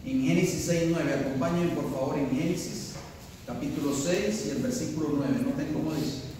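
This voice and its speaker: A man's voice: a little high, moderate pace, warm tone, slight background noise. A man preaching a sermon as pastor, building to emphatic peaks and asking the congregation questions. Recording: echoey hall, voice from far off.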